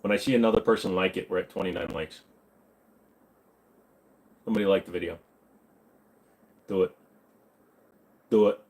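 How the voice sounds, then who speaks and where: A man's voice: low-pitched, steady tone, moderate pace, pauses often, a little mellow, low-quality recording. A man hosting a casual livestream, answering viewer questions with crude, rambling jokes.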